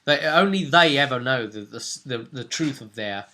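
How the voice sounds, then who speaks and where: Bloke game master recapping a roleplay session, bright voice, rambly n chatty, keeps asking for agreement. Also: male voice, medium pitch, expressive, normal speed, slight background noise. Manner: chatty and rambling, seeks agreement with tag questions. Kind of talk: casual recap of a tabletop role-play session.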